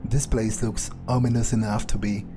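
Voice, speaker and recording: A man's voice: fairly low pitch, little pitch movement, moderate pace. A man giving in-character gameplay commentary, musing aloud in a sardonic manner. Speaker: gamer playing in character. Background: game music.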